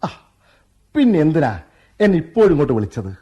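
An actor playing a doting, teasing young husband in a radio drama, with a playful and indulgent manner. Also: male voice, on the high side, big pitch swings, fairly quick, warm tone, husky. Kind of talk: 1990s-style radio drama acting.

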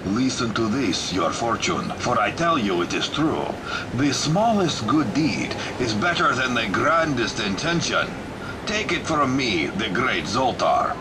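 A man's voice: medium pitch, very animated. Zoltar, a man voicing a pompous fortune-telling machine. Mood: grandiose. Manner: slow, pompous, theatrical proclamations. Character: mechanical male fortune-teller machine, grandiose. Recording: recorded outdoors with loud street noise.

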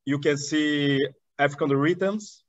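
A man in his thirties from southern Brazil, a Portuguese speaker with a Brazilian accent, talking eagerly as an interview guest in halting English with many restarts. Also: fairly high pitch, no echo. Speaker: guest, chamber of commerce director. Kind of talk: video call interview. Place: indoor room in front of curtains. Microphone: video call webcam. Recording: dull dry webcam audio.

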